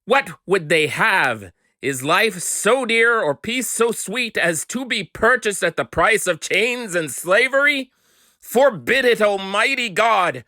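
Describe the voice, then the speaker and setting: A man's voice: high voice, lively intonation, pushed and bright, dry recording. Man giving a dry, dramatic speech reading. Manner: declamatory, deliberate, emphatic. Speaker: amateur dramatic reader.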